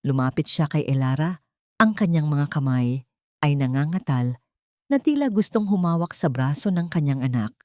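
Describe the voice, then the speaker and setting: A woman's voice: low-pitched, lively intonation, moderate pace, darkish tone, no echo, studio quality. A woman with a Filipino accent giving scripted, melodramatic narration of a story.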